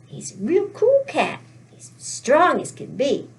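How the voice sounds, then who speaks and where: A woman's voice: high voice, wildly swooping pitch, slow pace, exaggerated voice. A woman voicing an eager, friendly little dog in a children's story, barking woofs between words. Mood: friendly, boasting.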